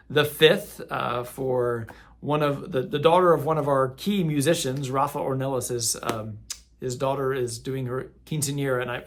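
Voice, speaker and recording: A man's voice: mid-range voice, a bit bright. A middle-aged man, a parish priest, giving casual, rambling parish announcements and correcting himself as he goes. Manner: rambling, self-correcting, friendly.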